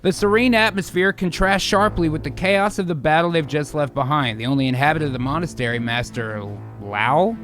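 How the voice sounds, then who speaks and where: A man with a high-pitched voice hosts and narrates an improvised radio drama. He mixes storyteller delivery with casual chat, over background music. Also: brisk, hardly any pauses, a bit bright.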